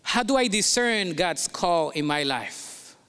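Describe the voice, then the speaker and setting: A man's voice: high-pitched, lively intonation, slow pace, sharp tone, smooth, long reverb tail. Emphatic Colombian-accented male pastor. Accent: Colombian Spanish. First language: Spanish. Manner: emphatic, repeats key phrases, rising bursts. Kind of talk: sermon.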